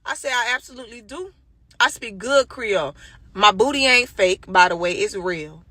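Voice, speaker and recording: A woman's voice: mid-range voice, lively intonation, normal speed, bright, forceful tone, dry recording. A sassy, emphatic woman telling a personal story casually, with some swearing.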